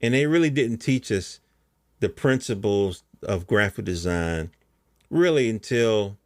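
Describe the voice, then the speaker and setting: A man's voice: fairly low pitch, expressive, measured pace, dry recording, clean studio sound. A middle-aged American man hosting a podcast, talking casually in a rambling, colloquial monologue and often checking in with the listener. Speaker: podcast host.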